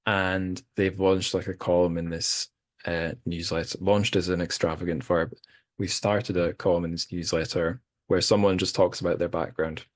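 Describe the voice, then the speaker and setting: A man with a very low voice hosts a podcast in relaxed conversation. He rambles and pokes fun at himself, restarting his sentences often. The sound is dry and close, with no room echo. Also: normal speed. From Scotland. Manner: rambling, self-deprecating, many restarts. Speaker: podcast host. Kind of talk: casual chat.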